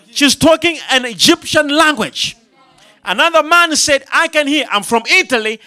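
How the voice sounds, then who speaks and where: Male preacher, very high and bright voice, calls out to the congregation and builds to shouts. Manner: call-and-response, rising to shouts. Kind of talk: sermon.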